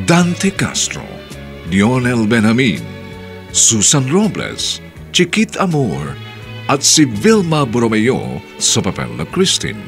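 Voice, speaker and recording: A man's voice: mid-range voice, creaky, a bit bright. A man with a Filipino accent narrating and announcing a radio drama in a formal, theatrical scripted style. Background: music.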